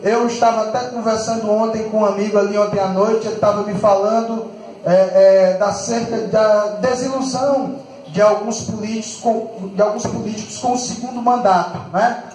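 A man's voice: high-pitched, steady tone, normal speed, darkish tone. A man with a northeastern Brazilian accent giving an impassioned political speech as a mayor, emphatic and repetitive, over a PA in a hall. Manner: emphatic, repetitive, rhetorical questions. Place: large open-roofed hall, at a head table. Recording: very echoey hall public-address recording.

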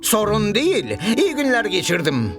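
A man voicing an eccentric, fussy, excitable old scientist in a cartoon, muttering theatrically to himself with drawn-out words. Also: sits high. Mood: resigned, wistful. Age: older. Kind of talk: animated cartoon dub acting. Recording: music behind the voice.

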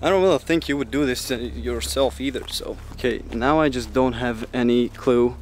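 A young man talking casually to a handheld camera as he walks outdoors, sounding uneasy and halting, swearing as he goes. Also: medium pitch, darkish tone. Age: young adult. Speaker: vlogger. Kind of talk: casual solo exploration vlog. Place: outdoors in woods, walking. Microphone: handheld camera at arm's length. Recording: noisy outdoor handheld recording.

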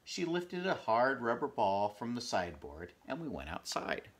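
A man in his fifties reading a children's storybook aloud in an expressive, animated way at home. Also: mid-range voice, normal speed, a little hiss or hum. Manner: animated storytelling with asides to the listener. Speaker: storybook reader. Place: small furnished room at home.

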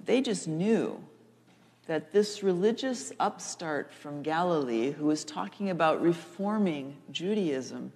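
A woman in her sixties, a pastor preaching a storytelling sermon with deliberate, emphatic repetition. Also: on the low side, vocal fry, darkish tone. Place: large church sanctuary, seated at front. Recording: echoey church hall, long reverb.

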